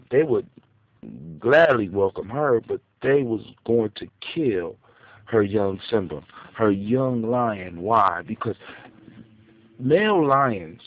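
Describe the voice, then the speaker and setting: A man telling a folktale off the cuff for grown-up listeners, dramatic and emphatic, repeating phrases for effect. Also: mid-range voice. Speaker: storyteller. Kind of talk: improvised oral folktale telling for adults. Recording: echoey, muffled phone-like audio.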